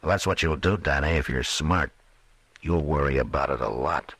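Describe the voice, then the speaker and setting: An actor playing a steady, level-headed frontier marshal with an American accent, measured and authoritative, in an old-time radio western. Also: male voice, very deep voice, breathy edge, no room sound, full character voice. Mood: cautioning. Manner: measured, plain-spoken, authoritative.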